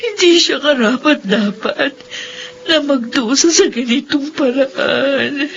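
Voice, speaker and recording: A woman's voice: sits high, takes it slowly, creaky, a little hiss or hum, heavily acted. A woman playing a devoted wife who tearfully pleads and prays for her injured husband. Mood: praying. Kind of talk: Filipino radio drama acting. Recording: lo-fi radio recording with music.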